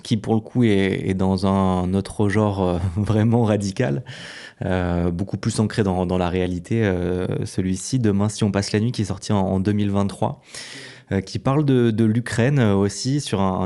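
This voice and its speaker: Casting: male podcast host, deep creaky voice, relaxed interview style, hesitant phrasing. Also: normal speed. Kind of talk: casual interview talk.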